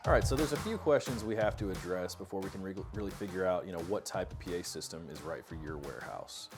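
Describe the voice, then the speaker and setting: A man's voice: low voice, expressive, fast, loud music behind the voice. A man in his thirties with an American accent, hosting an informal instructional talk to camera and explaining things casually. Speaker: host of a product tech talk series. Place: indoor workshop studio with metal cabinets.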